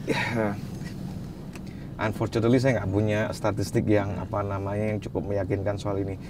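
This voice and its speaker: Low-voiced man, relaxed. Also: normal speed, noisy background. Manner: relaxed, mixes English phrases into speech. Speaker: wine expert guest. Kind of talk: casual interview answers.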